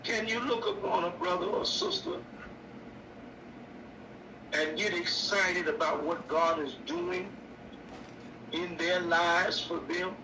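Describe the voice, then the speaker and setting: An older man, a bishop preaching a sermon on his radio show, slow and repetitive with stammered restarts. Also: high voice. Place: indoor room. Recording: echoey distant mic with noise.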